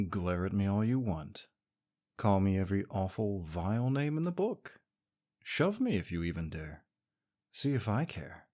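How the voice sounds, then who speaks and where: A man's voice: low-pitched, very animated, a little slow, long pauses, warm tone. A young man performing a scripted audio roleplay as a sarcastic, teasing rival co-worker. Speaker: voice actor playing a smug rival co-worker.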